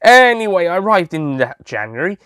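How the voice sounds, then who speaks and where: A man's voice: high voice, sing-song, normal speed, bright, forceful tone, clear, resonant tone, no echo, studio quality, voice pushed up, heavily acted. A man playing a young, sweet-obsessed alien Time Lord who tells his story in a chatty, self-amused way, full of asides. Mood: cheerful. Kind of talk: first-person audio story narration in character.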